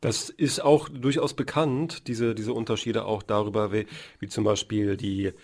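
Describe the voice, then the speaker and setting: A man hosting a radio interview and asking measured questions. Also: lowish voice, steady tone, a little mellow.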